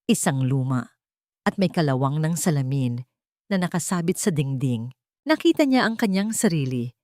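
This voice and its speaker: A woman narrating a romantic audio drama in a slow, dramatic, emotional storytelling manner, close to the mic. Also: fairly low pitch, darkish tone, no room sound.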